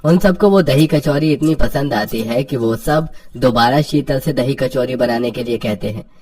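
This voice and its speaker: A man's voice: mid-range voice, moderate pace, darkish tone, slightly rough. A man narrating a scripted cartoon story as the storyteller.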